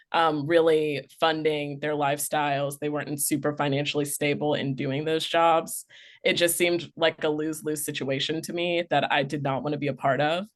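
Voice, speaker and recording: A woman in her twenties, an HR specialist on a panel, speaking steadily and articulately with few fillers. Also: sits low. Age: 20s.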